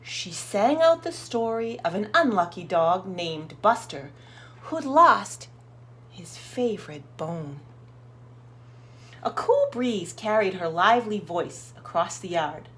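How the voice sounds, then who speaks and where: A woman reading a storybook aloud to young children, expressive and encouraging, putting questions to her listeners. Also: mid-range voice, slow pace.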